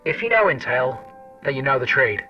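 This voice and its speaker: A man's voice: medium pitch, big pitch swings, normal speed, far from the mic, close to an everyday voice. A man playing a wry, foul-mouthed intelligence agent, telling his story in a sardonic, conversational way in an audio drama. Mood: wry, confiding. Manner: sardonic, conversational, addresses the listener directly. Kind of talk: first-person audio drama narration and dialogue. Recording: dull lo-fi old-radio sound under music.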